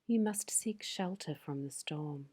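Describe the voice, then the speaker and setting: Whispering woman guiding a sleep meditation. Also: sits low. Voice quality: breathy whisper. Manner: slow, soothing, gentle. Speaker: meditation guide.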